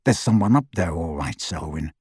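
A man voicing a cool, terse dark-wizard henchman in an audiobook, speaking in a sharp, low-key way. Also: expressive, moderate pace, slightly rough, dry recording, clean studio sound, full character voice. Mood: sharp, alert. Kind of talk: audiobook character voice.

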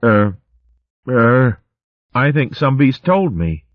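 A man's voice: mid-range voice, sing-song, slow, dry recording, phone-quality sound, pitched above the performer's own voice. A man voicing an eager young female hedgehog in a storybook reading. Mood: lively.

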